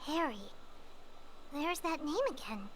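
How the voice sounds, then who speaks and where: A woman voicing a tiny, naive, worried fairy companion in a video game, squeaky and childlike. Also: lively intonation, takes it slowly, a little hiss or hum. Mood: puzzled. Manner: childlike, speaks of herself in third person.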